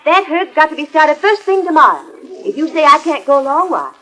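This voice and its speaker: A woman's voice: sits high, big pitch swings, fairly quick, bright, forceful tone, heavily acted. An American woman playing an earnest, determined young rancher's daughter in a 1940s radio western. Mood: insistent. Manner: earnest, pleading, folksy cowboy speech. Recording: old transcription disc radio recording.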